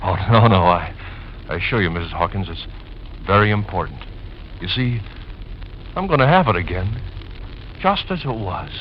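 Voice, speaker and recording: A dull, noisy old radio recording carries a gentle widower, earnest and nostalgic. His mid-pitched voice swings expressively as he clings politely to the memory of his old home. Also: very animated, normal speed, pauses often. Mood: earnest nostalgia. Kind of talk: 1940s radio drama acting.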